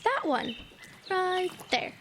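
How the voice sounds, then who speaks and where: Sleepy innocent little kid, high voice, talks slow, noisy background. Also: female voice, sing-song, fairly bright tone, exaggerated voice. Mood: drowsy, curious. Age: young. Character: sleepy, guileless small child. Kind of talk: youth audio drama acting.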